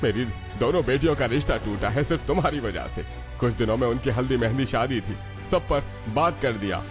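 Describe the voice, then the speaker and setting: A man's voice: mid-range voice, animated, brisk, close to an everyday voice. Man playing a stern, scolding older village father. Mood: angry. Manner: scolding, accusatory. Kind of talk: dramatic Hindi cartoon story dialogue. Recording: loud music under the dialogue.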